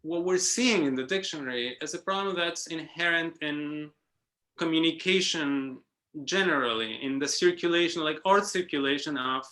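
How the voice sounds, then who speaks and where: Bright-voiced hesitant male academic. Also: a little high. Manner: hesitant, many restarts and tag "no?". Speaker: guest speaker. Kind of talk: academic round-table discussion. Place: online round-table call.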